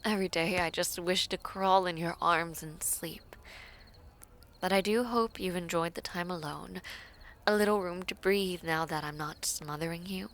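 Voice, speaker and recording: A woman playing a devoted, teasing princess in a romantic audio roleplay, speaking formally and coyly with breathy, whispery softness. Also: lowish voice, steady tone. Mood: soft, proud. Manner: slow, formal, coy, often dropping to breathy whispers.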